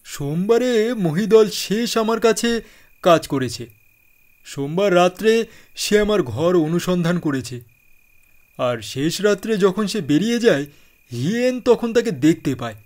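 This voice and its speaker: Elderly gentleman, high swooping voice, courteous, worried, deliberate, radio drama. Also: animated. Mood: grave recounting. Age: older. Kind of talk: Bengali radio drama acting.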